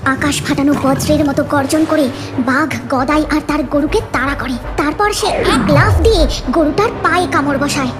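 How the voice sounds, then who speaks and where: A woman's voice: high voice, moderate pace, music in the background. A woman narrating a scripted cartoon story in a dramatic storytelling manner.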